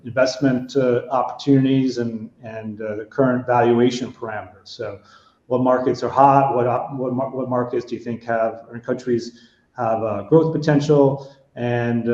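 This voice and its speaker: A middle-aged American man moderating an online panel over a video call. His voice is mellow and relaxed, and he stumbles through restarts and fillers. The audio is dull and echoey. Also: mid-range voice, normal speed. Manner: hesitant, many fillers and restarts. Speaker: webinar host and panel moderator. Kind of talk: online webinar presentation.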